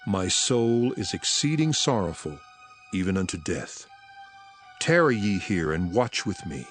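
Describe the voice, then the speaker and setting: Solemn authoritative teacher, lowish voice, lively intonation, measured archaic speech, scripture drama, strong music bed. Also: male voice. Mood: sorrowful. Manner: solemn, measured, weighty delivery. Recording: voice over prominent music.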